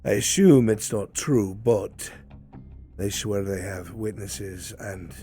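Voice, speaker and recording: A furtive informant acted out by a man in a fantasy roleplay, with a low, dark and mellow voice. He talks like a conspirator in rustic, old-fashioned words, loyal to his lord, with music faintly behind him. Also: slow. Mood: grave, secretive. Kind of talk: improvised fantasy roleplay dialogue. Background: background music.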